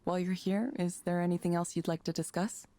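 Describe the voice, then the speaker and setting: A woman voicing a calm, polite AI counselling program with measured, impersonal delivery in a visual novel. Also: fairly low pitch, no room sound, natural voice. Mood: polite, neutral. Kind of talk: visual novel game voice acting.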